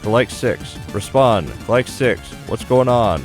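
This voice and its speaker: A man's voice: medium pitch, slow pace, faint music behind, voice pushed up, natural voice. A man voicing a stern female squadron commander who barks clipped orders in a visual novel game. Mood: stern, urgent.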